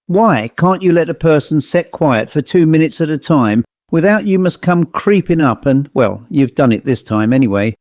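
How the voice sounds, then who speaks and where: A man performs a testy, self-important old-fashioned storybook owl, pitched up from a normal speaking voice. The bird grumbles and complains in uneducated rustic speech, always indignant, in a very dry recording. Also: lively intonation, normal speed, hardly any pauses, a little mellow, dry recording, natural voice. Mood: indignant, bitter. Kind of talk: storybook character voice.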